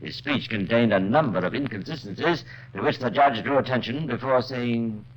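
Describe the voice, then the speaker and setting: A man narrating a 1950s radio crime drama in a deliberate, suspenseful scripted style. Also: mid-range voice. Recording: muffled old radio recording.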